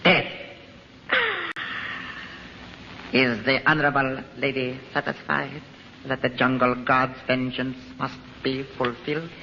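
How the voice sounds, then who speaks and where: An actor playing a menacing cobra cult priest in an old-time radio melodrama, with solemn, pompous, ceremonial phrasing. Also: male voice, mid-range voice, level tone, normal speed, vocal fry, bright, forceful tone, slight background noise. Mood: chanting, ritual. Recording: muffled old radio recording, long reverb, music.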